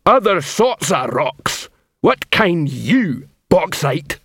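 A man voicing a big, slow-witted troll sergeant in an audiobook, blustering and proud, barking orders in broken grammar. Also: fairly high pitch, big pitch swings, a bit bright, husky, no room sound, pitched above the performer's own voice, heavily acted. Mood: flustered.

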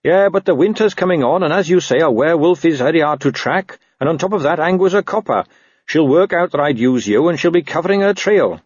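A man's voice: on the high side, animated, a little fast, breathy edge, dry recording, dull audio, pitched above the performer's own voice. Man playing an earnest, slyly persuasive policeman. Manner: mild, reasonable, disingenuously innocent.

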